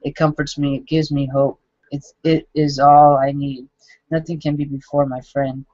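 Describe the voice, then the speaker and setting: A man in his forties reading his own journal entries aloud, halting and self-critical, with frequent restarts. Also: on the high side, dark tone, dry recording. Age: 40s. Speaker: vlogger. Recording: dull lo-fi dry recording.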